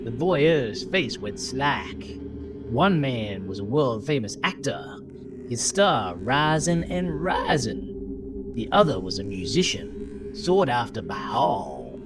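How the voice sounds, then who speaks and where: Slow, theatrical male horror narrator over music. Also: mid-range voice, very animated. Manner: theatrical, emphatic, deliberate pauses. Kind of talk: dramatic horror story narration.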